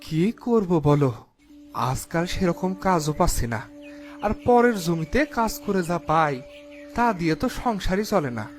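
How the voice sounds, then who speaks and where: A man's voice: sits high, animated, normal speed, soft background music. A man playing an anxious village farmer in a cartoon moral story, speaking plaintively and tending to stammer when agitated. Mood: wistful, troubled.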